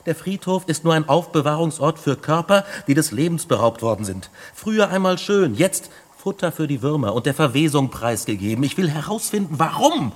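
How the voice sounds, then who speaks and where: An actor playing a fearless, obsessed young science student in a radio drama, speaking with intense, articulate insistence. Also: male voice, a little high, expressive, fairly quick, few pauses. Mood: defiant, fervent. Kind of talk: German radio drama acting.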